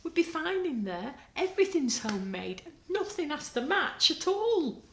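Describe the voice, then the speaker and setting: A woman's voice: sits high, big pitch swings, moderate pace, slight background noise, voice pushed up. A woman doing a timid, loyal little decoration girl. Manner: nervous, breathy whispering. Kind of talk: storybook read-aloud. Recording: roomy echo.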